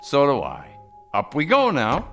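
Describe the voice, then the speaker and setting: An unhurried old man in a radio drama, with a lively, swinging delivery. He is doting and gentle, playful yet hesitant when pressed. Soft music sits faintly behind him. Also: medium pitch, gravelly, exaggerated voice. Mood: playful, tender.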